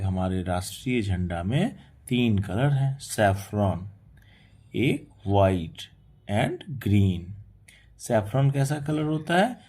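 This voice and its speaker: A man teaching a children's textbook lesson, reading each English sentence slowly and explaining it word by word in Hindi. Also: on the low side, mellow.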